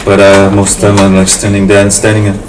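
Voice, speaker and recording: A man's voice: on the low side, completely flat delivery, fast. A Samoan man in his thirties talking over casual conversation at home, thoughtful and hesitant, with fillers and restarts. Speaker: interviewee, family host. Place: home in a city. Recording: roomy, distant mic with noticeable noise.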